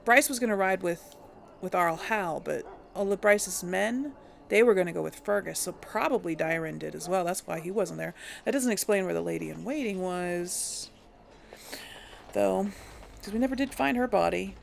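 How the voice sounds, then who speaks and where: A woman's voice: fairly low pitch, normal speed, vocal fry, slight background noise. A woman hosting a casual role-play game playthrough, thinking aloud in a rambling way. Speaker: let's play host.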